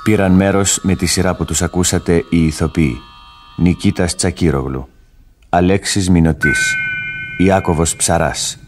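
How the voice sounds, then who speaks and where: A male radio announcer with a deep voice reads out scripted credits. His delivery is formal and measured, and music plays clearly behind him. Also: steady tone.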